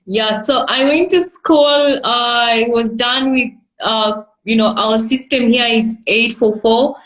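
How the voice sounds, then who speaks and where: A woman from Kenya with a Kenyan accent, answering interview questions over a video call, hesitant with soft laughs. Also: a little high, takes it slowly. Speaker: guest entrepreneur.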